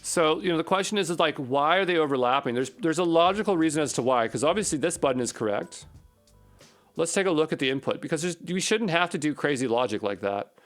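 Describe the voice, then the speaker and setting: A man's voice: a little high, lively intonation, quick pace, fairly bright tone, soft background music. Man thinking aloud while coding. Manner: muttering, repeats short phrases while puzzling through. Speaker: programming instructor. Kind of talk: unscripted screen-share coding walkthrough, thinking aloud.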